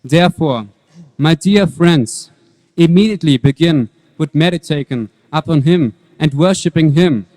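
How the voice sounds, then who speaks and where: Devout young prince adult male actor: calm, earnest, measured, higher-pitched, reverberant hall, stage reading. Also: natural voice. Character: devout, fearless boy prince, calm and wise. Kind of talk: dramatic scripture reading on stage.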